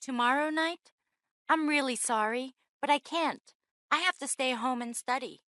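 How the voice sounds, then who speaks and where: A woman voicing a friendly young woman in a scripted, slowly spoken English lesson conversation. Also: sits high, pushed and bright, no room sound, clean studio sound. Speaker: voice actor in English lesson dialogues. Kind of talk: scripted slow learner dialogue.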